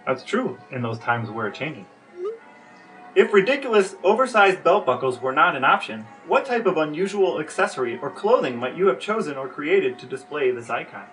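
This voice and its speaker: A man's voice: a little high, sing-song, normal speed, fairly bright tone, faint music behind, poor audio. A man hosting a podcast, delivering semi-scripted narration and questions in a wry, tongue-in-cheek way. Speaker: podcast host.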